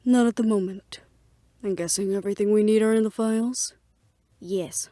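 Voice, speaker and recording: A woman acting a guarded, businesslike plotter in an audio roleplay drama, speaking in a measured, serious way. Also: medium pitch, warm tone, dry recording, natural voice. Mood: measured, sincere.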